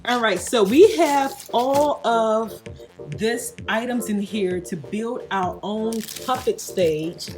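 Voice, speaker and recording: A woman's voice: a little high, slow. A woman hosting a casual toy unboxing and demonstration, explaining things simply as if to a child and viewers. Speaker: toy review host. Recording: background music behind the voice. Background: music.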